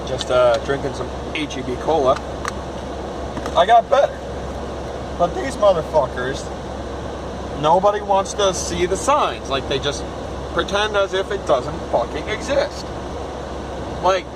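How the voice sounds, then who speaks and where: A man's voice: a little high, animated, a little slow, pauses often. A nineteen-year-old man vlogging from his car on the drive home, ranting casually, rambling and swearing freely. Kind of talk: casual rant to camera. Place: in a car after work. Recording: loud car noise. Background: loud road and engine noise.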